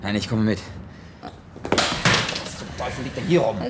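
A man's voice: lowish voice, unhurried, very noisy. An actor playing a tense, commanding and bitterly sarcastic man facing deadly danger in a science-fiction radio drama. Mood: impatient.

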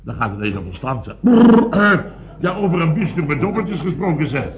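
Blustering, wildly animated boss. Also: male voice, fairly high pitch, normal speed. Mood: mock outrage. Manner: sputtering, repetitive, pompous, exclaiming. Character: blustering, excitable, self-important male boss of building firm. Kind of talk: radio comedy serial acting. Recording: dull band-limited old radio recording.

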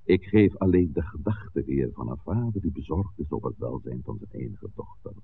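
An actor playing a shrewd old village priest in a 1960s radio play, with a gravelly voice and deliberate, eloquent, theatrical delivery. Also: male voice, a bass voice, animated. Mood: suave. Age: older. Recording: tinny, band-limited old radio recording, hiss.

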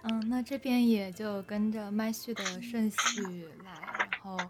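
A woman's voice: mid-range voice, fairly even intonation, measured pace. A woman, a drama director, speaking casually on a live stream. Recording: clear music behind the voice.

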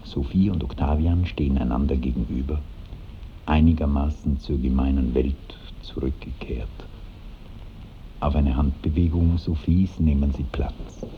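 A man narrating stage directions for a radio play in a measured, literary way. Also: extremely low pitch. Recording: muffled 1960s radio with slight noise.

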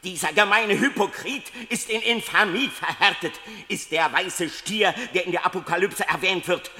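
An actor playing a venomous, fanatical preacher who denounces a sinner in theatrical, declamatory German radio play style. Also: male voice, high-pitched, bright, forceful tone. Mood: venomous denunciation. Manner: declamatory, accusing, drawn-out exclamations. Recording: echoey hall with long reverb.

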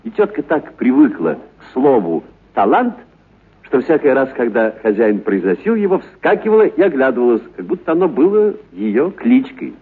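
A man reads literary fiction as a dramatic radio storyteller. His voice is husky and swings widely in pitch. The recording is narrow and muffled, like an old broadcast. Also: big pitch swings, normal speed. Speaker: narrator, actor reading a story.

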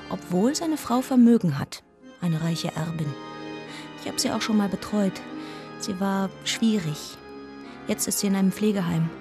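Radio drama casting: woman as gossipy deaconess, medium pitch, wry and confiding, clear music underneath. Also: lightly acted. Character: inquisitive, gently gossipy deaconess sharing local knowledge.

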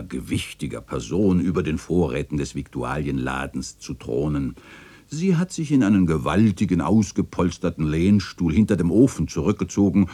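An actor playing a melancholy old chronicler in a literary radio play, narrating in a wistful, theatrical and solemn way. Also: male voice, sits low, darkish tone, breathy edge, heavily acted. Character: melancholy old male chronicler remembering his neighbourhood and its dead.

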